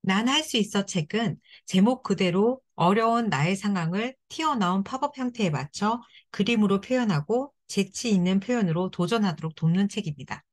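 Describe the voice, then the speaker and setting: A woman as instructor, narrating a children's craft and writing lesson in a polite, clear, step-by-step way. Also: medium pitch.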